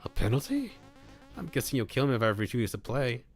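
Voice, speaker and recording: A man's voice: medium pitch, very animated, fairly quick, voice pushed up, close to an everyday voice. A man voicing a wary, defiant visual novel protagonist, with music behind.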